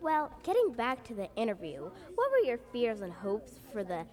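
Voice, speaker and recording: A woman's voice: on the high side, huge pitch swings, normal speed, darkish tone. A woman hosting a mock interview show, upbeat and scripted. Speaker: host.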